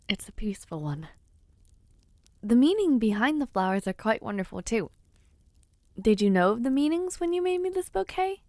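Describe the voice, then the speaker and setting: A young noblewoman played by a woman speaks intimately to someone dear in a romance audio drama. She is bashful and giddy, teasing with affection and hesitating softly. Her mid-pitched voice takes its time, in a very dry, close recording. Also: no echo. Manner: soft hesitations, gentle teasing, shy giggles. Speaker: voice actor in romance audio roleplay. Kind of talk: intimate scripted audio roleplay, speaking to the listener.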